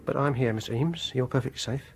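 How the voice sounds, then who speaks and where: An actor in a British radio horror drama playing a fussy, awkward, quietly intense music lover, with a British accent and a prim, hesitant, stammering way of talking. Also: male voice, medium pitch, brisk, vocal fry, husky. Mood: prim, reassuring.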